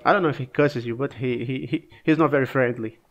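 A man with a cold casually commenting on his own gameplay, relaxed and halting, coughing now and then. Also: mid-range voice, lo-fi sound. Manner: relaxed, halting, frequent restarts and fillers. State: sick, coughing.

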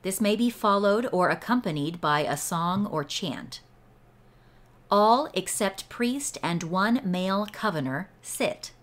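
A woman reading aloud from a scripted ritual text in a measured, deliberate way. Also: mid-range voice, expressive. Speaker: read-along host and reader. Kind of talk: slow scripted read-aloud of a ritual book.

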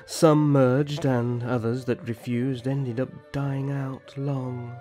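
A man playing a gentle, courtly young elf prince in a roleplay audio drama, speaking formally and graciously. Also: mid-range voice, level tone, faint music behind, natural voice. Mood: gentle, wistful. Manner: formal, gracious, measured storytelling.

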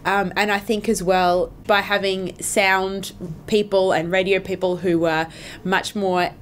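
A woman's voice: mid-range voice, flat delivery, a little slow, slight background noise. A woman talking casually in an interview as an amateur radio actor.

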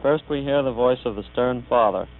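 A man formally presenting a technical demonstration in a measured way, in a tinny old recording with hum. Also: mid-range voice. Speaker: demonstrator, announcer.

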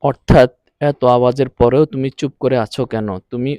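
A man's voice: mid-range voice, little pitch movement, warm tone, clean tone, no echo. Dry-sounding male language teacher. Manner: didactic, repeats phrases deliberately. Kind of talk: scripted lesson, phrase-by-phrase translation. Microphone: close, dry voice-over recording.

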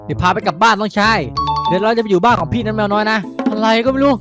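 Fast-talking man narrating game role-play over music. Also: high-pitched. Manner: chatty, repetitive, addresses viewers directly. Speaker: video creator narrating game skits. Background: background music.

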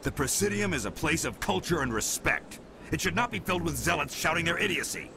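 A man's voice: on the high side, very animated, fairly quick, gravelly, noisy background. A man playing an officious, exasperated security officer in a video game, with a stern manner.

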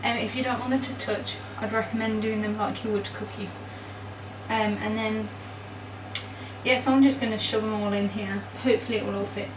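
A woman's voice: medium pitch, fairly even intonation, normal speed. A young adult woman giving a casual, chatty baking demonstration in an informal, self-deprecating way, recorded indoors on a camera mic. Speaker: home baking vlogger. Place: small home kitchen, indoors. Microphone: camera mic a metre or two away. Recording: roomy, distant mic, noisy background.

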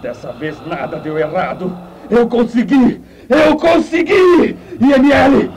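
High-pitched, pompous mad scientist. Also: male voice, lively intonation, takes it slowly, a little mellow, full character voice. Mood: triumphant. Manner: pompous, declamatory. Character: excitable, grandiose mad male scientist. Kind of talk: comic radio play acting. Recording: 1980s radio play with music.

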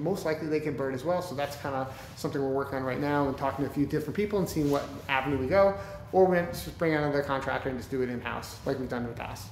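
A man's voice: a little high, a little mellow. New man vlogging in echoey empty house. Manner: rambling, many ums and restarts. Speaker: real estate investor host. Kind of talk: casual walkthrough vlog. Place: empty house, then outdoors. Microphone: camera held at arm's length. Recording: echoey empty room, faint ambient noise.

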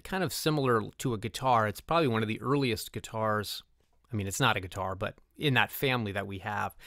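A man's voice: medium pitch, fairly bright tone, dry recording, clean studio sound. A middle-aged man answering interview questions in a conversational, talkative, enthusiastic way. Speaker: interview guest, music composer.

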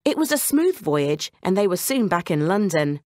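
A woman narrating a scripted children's bedtime story in an expressive storytelling manner. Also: lowish voice, moderate pace, no room sound, clean studio sound.

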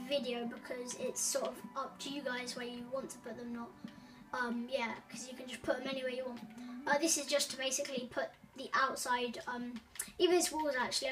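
A woman's voice: high-pitched, distant mic. A woman hosting a casual building walkthrough, rambling with many ums and self-corrections. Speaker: gaming tutorial host.

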